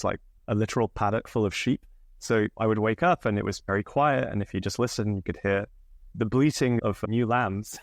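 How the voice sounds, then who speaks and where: A man in his thirties with an English accent, slightly northern, telling stories from his life as a podcast guest, relaxed with lots of fillers. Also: on the low side, dry recording, studio quality. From England. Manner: relaxed, many fillers, laughs often. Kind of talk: candid conversational storytelling.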